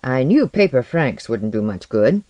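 A narrator playing an educated modern man in an audiobook tale. He is modest and anxious, speaking plainly but emotionally, with pitch that moves a great deal. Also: sing-song, measured pace, darkish tone, dry recording, muffled recording. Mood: sheepish, earnest.

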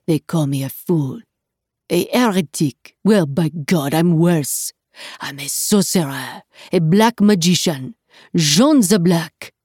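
A woman's voice: sits low, animated, takes it slowly, a little mellow, breathy edge, dry recording, studio quality, full character voice. A woman voicing a small, passionate and proud medieval French alchemist man, courteous and declamatory, in a storybook reading. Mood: proud, defiant.

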